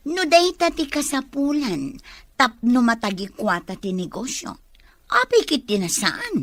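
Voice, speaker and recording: A woman acting as a greedy villain's accomplice, conniving and goading, in an Ilocano radio drama. Her Filipino-accented voice is fairly high and recorded dry. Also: very animated, unhurried, fairly bright tone, no room sound, heavily acted.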